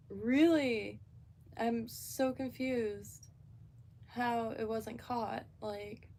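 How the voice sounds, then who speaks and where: A woman's voice: a little high, darkish tone, a little hiss or hum. A woman from Florida, chatting casually and rambling on a live stream with lots of fillers and restarts.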